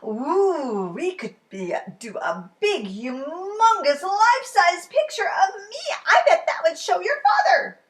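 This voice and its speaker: A woman's voice: very high-pitched, very animated, slow, hardly any pauses, voice pushed up, exaggerated voice. Boisterous, smug imaginary pal for a storybook, played by a woman; bellowing, childlike, swooping delivery. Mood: excited, dramatic. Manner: bellowing, exclamatory, childlike wording. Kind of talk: storybook character voice.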